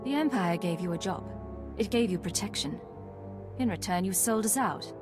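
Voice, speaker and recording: An actress playing a stern, loyal female intelligence agent in a video game, speaking in a measured, serious way. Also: medium pitch, over music, close to an everyday voice.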